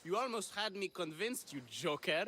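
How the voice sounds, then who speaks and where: An actor playing an effusive, flattering theatre lover in a video game, gushing in drawn-out phrases. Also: male voice, high voice, slight background noise. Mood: delighted, amused. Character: effusive, flattering, theatre-loving Greek man. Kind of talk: video game cutscene acting.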